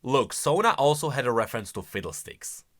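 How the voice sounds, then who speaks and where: Animated, bright-voiced man doing commentary. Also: mid-range voice, a little fast. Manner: emphatic, conversational. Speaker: video host. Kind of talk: casual explanatory game lore commentary.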